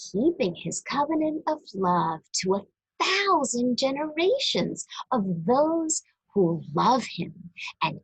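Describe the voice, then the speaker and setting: A woman reads a religious picture book aloud as if teaching young children. Her voice is notably breathy and husky, with a lively, swooping melody. She takes her time, very slowly and deliberately, addressing the listener directly. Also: on the high side, poor audio. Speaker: storybook reader for a church.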